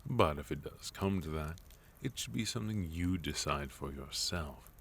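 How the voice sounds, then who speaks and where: A man playing a sophisticated, courteous villain leader in a soft-spoken, gently teasing ASMR roleplay. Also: a bass voice, expressive, moderate pace, gravelly, slight background noise, heavily acted. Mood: calm, thoughtful. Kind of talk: ASMR roleplay, intimate scripted monologue to listener.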